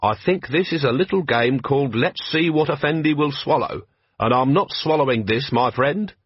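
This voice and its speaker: Guy doing a tired sarcastic cop boss, dry and sardonic, raspy-ish voice. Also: mid-range voice, normal speed, no echo, lo-fi sound, pitched above the performer's own voice, natural voice. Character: weary, sarcastic middle-aged male police commander.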